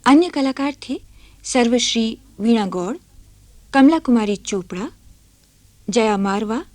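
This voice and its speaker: A woman radio announcer reading scripted credits in a formal, deliberate and precise manner. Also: on the high side, expressive, dry recording.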